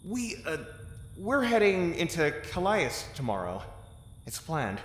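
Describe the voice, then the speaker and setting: A loyal, wry soldier in an audio drama. His fairly high voice swings widely and teases in an easy conversational way, ringing in a long, echoey reverb. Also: huge pitch swings, unhurried. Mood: candid, reassuring.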